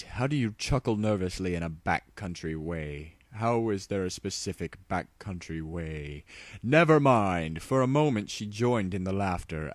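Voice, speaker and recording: A man's voice: low voice, no echo. A man narrating a story as a tongue-in-cheek dramatic reading.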